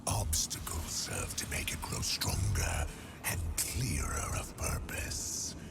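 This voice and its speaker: Ancient beast god for a game, super slow gravelly growl, sneering and menacing, loud music under it. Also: male voice, very deep voice, very animated, in a whisper, full character voice. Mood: sly, condescending. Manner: slow, grandiose, menacing delivery. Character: ancient male beast god, cunning and contemptuous. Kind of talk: theatrical video game character acting.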